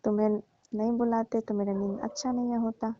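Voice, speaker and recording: A woman's voice: on the high side, little pitch movement, fairly quick, warm tone, natural voice. A woman playing a coaxing, teasing middle-aged auntie who persuades and placates in a staged comic phone call. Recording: dull, dry lo-fi phone recording.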